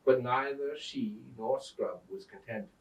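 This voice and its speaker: A man reading an old tale aloud as a storyteller, in a theatrical, deliberate manner. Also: medium pitch. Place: indoors at a museum. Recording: roomy echo, distant microphone.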